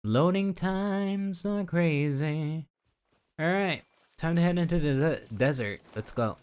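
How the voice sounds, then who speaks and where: A man's voice: a little high, slow, a little mellow, smooth. A man doing casual, rambling live commentary on a video game, playfully chatting to his viewers, with game music behind him. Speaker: game streamer.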